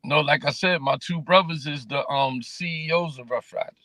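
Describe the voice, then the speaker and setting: New guy, laid-back street talk, kinda high voice, dry close sound. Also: normal speed, bright, forceful tone, no echo. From New York City. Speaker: interview guest, music promoter. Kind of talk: casual interview chat.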